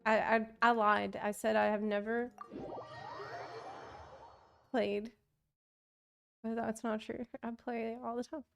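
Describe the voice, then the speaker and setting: A woman live streamer reacting to a game in casual, relaxed, offhand chat. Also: a little high, takes it slowly, creaky, pauses often, dull audio. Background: game music.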